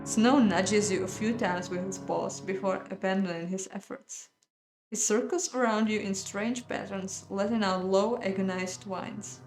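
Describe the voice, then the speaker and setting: A woman hosting a game playthrough, reading a visual novel aloud in a measured dramatic way with casual, hesitant asides. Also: mid-range voice, fairly even intonation, darkish tone. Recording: roomy echo, music behind.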